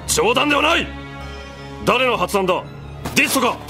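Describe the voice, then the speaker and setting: A man's voice: very high-pitched, sing-song, normal speed, pushed and bright, full character voice. A man acting a composed, sardonic military officer in an anime audio drama, polite and dry. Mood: grim. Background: background music.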